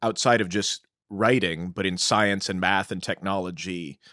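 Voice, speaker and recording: A man's voice: low voice, normal speed, bright, forceful tone, no room sound, clean studio sound. A man co-hosting a podcast, explaining things in an informative, conversational way. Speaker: podcast co-host.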